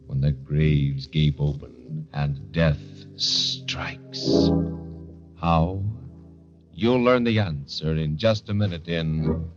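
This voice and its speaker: An actor playing an ominous horror-show host, intoning slowly and portentously in a theatrical 1940s radio style. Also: male voice, very deep voice, heavily acted. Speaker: announcer. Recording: dull vintage radio recording, loud music behind.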